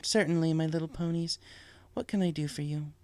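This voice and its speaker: A man voicing a gracious, wise female pony princess from a storybook, polite, measured and gently frank. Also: a little high, steady tone, no echo, voice pushed up, close to an everyday voice. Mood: gracious, kind. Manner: polite, measured, soothing and formal. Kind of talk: storybook character voice.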